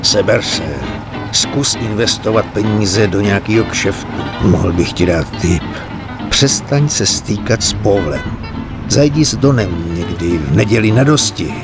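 Man playing an older mafia adviser, lively intonation. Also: on the low side, natural voice. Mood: admonishing, earnest. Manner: measured, admonishing. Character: older, fatherly mafia adviser, measured and stern. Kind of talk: dramatic game cutscene dialogue. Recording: prominent music under the voice.

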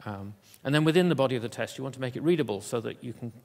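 A man giving a conference keynote in an auditorium, talking in a halting way with many fillers and restarts. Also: mid-range voice, expressive, gravelly. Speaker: keynote speaker.

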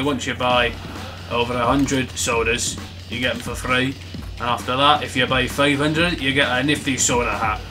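Man putting on a brash, bright-voiced Scottish bloke. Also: medium pitch, natural voice. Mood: brash, chatty. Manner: heavy swearing, blunt, shouty. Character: brash, foul-mouthed, angry-sounding Scottish man. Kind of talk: comic gameplay commentary in character. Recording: music behind the voice.

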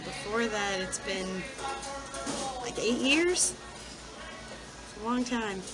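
A woman's voice: medium pitch, slow pace, over loud music, rough recording. A woman in her thirties reminiscing casually as an interview guest in a restaurant booth.

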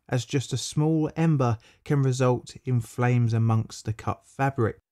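A man at a middle pitch narrating a history documentary from a script. His delivery is measured and matter-of-fact, with stresses on key words, recorded close on a voice-over mic. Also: a little mellow.

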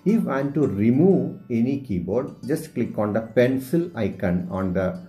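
A man with an Indian English accent and Malayalam as his first language, talking through a screen-recorded phone tutorial with many pauses and filler sounds. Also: medium pitch, lively intonation, dark tone, faint music behind. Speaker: teacher.

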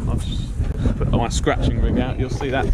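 A man's voice: medium pitch, little pitch movement, moderate pace. A man chatting casually as a fishing vlogger, upbeat and thinking aloud, on a small boat at sea. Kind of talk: casual on-the-spot vlog narration. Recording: loud wind and water noise on an outdoor boat mic.

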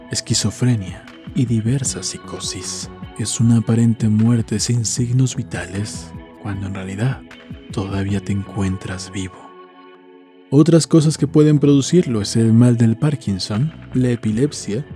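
Male horror narrator, mellow and measured, music underneath. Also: mid-range voice. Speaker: horror story narrator and channel host. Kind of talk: scripted dramatic narration.

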